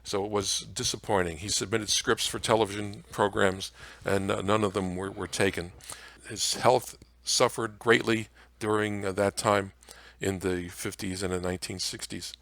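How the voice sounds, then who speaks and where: A man presenting an informal slide lecture on old-time radio history in a halting way, with frequent hesitations. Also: on the low side, fairly even intonation, gravelly, fairly bright tone, no echo.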